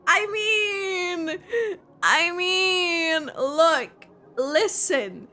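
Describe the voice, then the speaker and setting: A woman game streamer giving casual reaction commentary, halting as she thinks aloud. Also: extremely high pitch, expressive, bright, forceful tone. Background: game music.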